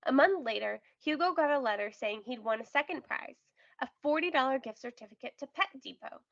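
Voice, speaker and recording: A woman narrating a children's storybook aloud with careful, expressive storytelling. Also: high-pitched, a little fast, vocal fry, no room sound, muffled recording. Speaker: storybook reader.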